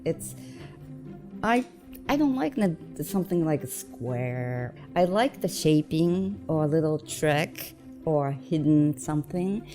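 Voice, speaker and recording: A woman with a Japanese accent, whose first language is Japanese, speaking halting English as a guest on an online call. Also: sits low, lively intonation, mellow, faint music behind. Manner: halting non-native English, short agreeing replies. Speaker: interview guest, technical editor and translator.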